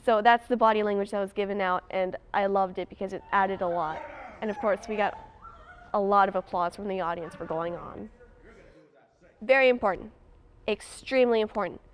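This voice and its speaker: A woman's voice: medium pitch, brisk. A teenage young woman telling casual anecdotes in an interview, expressively acting out what people said. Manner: expressive, acts out quotes. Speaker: student in a theater cast.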